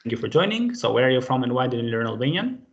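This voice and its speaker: A man's voice: mid-range voice, sing-song, very fast, vocal fry. A man leading a live online group language lesson over a video call, patient and friendly, with many fillers. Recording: dull lo-fi call audio.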